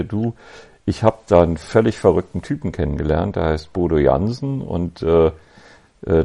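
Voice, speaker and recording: Low gravelly Berlin man, relaxed. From West Berlin, Germany. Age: 50s. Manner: relaxed, reflective, with many ums and pauses. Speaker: podcast guest. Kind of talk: casual conversational storytelling.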